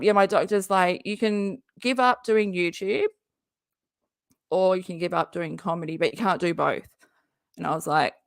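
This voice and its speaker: A woman hosting a casual live stream, chatty and jokey, who talks straight to her viewers and is hoarse from often losing her voice. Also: mid-range voice, no echo, clean studio sound. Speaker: YouTube live-stream host and comedian.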